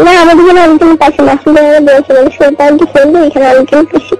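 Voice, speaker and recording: A woman's voice: high voice, steady tone, racing, darkish tone. A young woman with a Bangladeshi Bengali accent chatting casually and intimately on a recorded phone call. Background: music.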